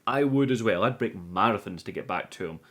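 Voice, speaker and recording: A man's voice: on the low side, expressive, a little fast, fairly bright tone. Man giving a casual, sweary movie recap. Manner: sweary, enthusiastic, informal. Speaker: movie review host.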